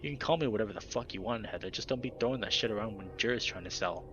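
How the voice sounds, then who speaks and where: A man putting on a fake-gravelly, curt stranger. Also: very fast, lo-fi sound, background noise, close to an everyday voice. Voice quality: gravelly, forced deep. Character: terse stranger faking a deep gravelly voice.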